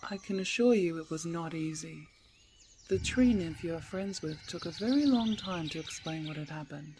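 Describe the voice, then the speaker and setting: A woman voicing a calm, regal, motherly bear queen with a measured, formal, reassuring delivery in a fantasy ASMR roleplay. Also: deep voice, background noise, lightly acted. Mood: grave, measured.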